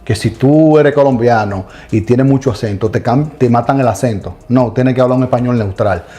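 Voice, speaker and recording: Emphatic middle-aged man with a mellow voice. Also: mid-range voice. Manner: emphatic, addresses listeners directly. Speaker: co-host of a Bible study channel. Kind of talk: casual religious discussion.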